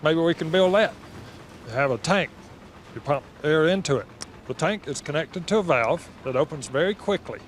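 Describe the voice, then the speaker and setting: A man from Florida, a scrap expert, explaining an idea in a halting, thinking-aloud way in an outdoor junkyard. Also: fairly high pitch, lively intonation, slight background noise.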